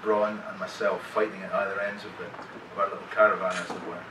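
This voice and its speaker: A man's voice: sits low, level tone, fast talker. Rambling middle-aged man in an echoey hall. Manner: rambling, self-deprecating, lots of restarts and chuckles. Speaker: actor guest on a convention panel. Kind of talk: casual anecdotes to a live audience. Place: convention panel room. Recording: echoey hall, distant mic, loud room noise.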